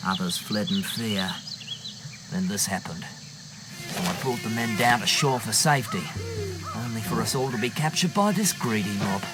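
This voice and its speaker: A man's voice: on the low side, lively intonation, moderate pace, loud music behind the voice, lightly acted. An actor playing a wounded, laconic male soldier with a wry manner in a video game cutscene. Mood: grim, wry.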